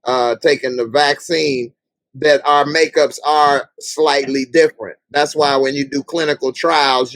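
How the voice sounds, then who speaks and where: A man's voice: medium pitch, dry recording, low-quality recording. A middle-aged man hosting a live panel, chatting casually and informally with a joking way of talking. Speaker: host of a live panel show. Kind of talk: casual group chat. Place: online group call.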